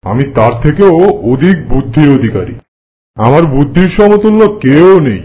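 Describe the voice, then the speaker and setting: A man playing a boastful, haughty king in an animated folk tale, speaking in a pompous, commanding way. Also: a little high, moderate pace, low-quality recording. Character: boastful, haughty king who prides himself on trickery.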